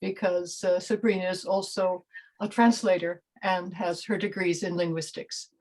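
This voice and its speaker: A woman's voice: lowish voice, fairly even intonation, normal speed, darkish tone, rough recording. A woman prompting participants in an informal online seminar, interjecting to ask for more background. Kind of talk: informal discussion. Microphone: video call.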